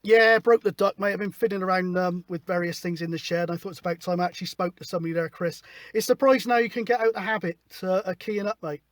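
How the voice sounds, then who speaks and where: A man's voice: sits high, fast, fairly bright tone, slight background noise. A man chatting casually and matily as he runs a CB radio session. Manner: informal, matey. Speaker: CB radio operator, host. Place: outdoors at a hilltop spot, mobile radio setup.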